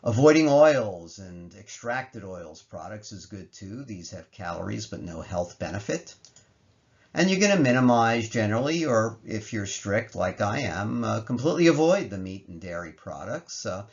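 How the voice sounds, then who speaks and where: A man's voice: on the low side, big pitch swings, normal speed, lo-fi sound. A man narrating a slide lecture in plain language, explaining emphatically and posing questions that he then answers. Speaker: lecturer presenting health research.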